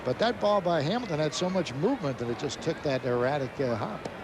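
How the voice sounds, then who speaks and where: Clear stadium noise sits behind a man commenting on a baseball game. His voice is a little high, and he explains plays in an easy conversational way on a 1990s broadcast. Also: animated, a little fast. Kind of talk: live color commentary. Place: ballpark broadcast booth. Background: ballpark ambience.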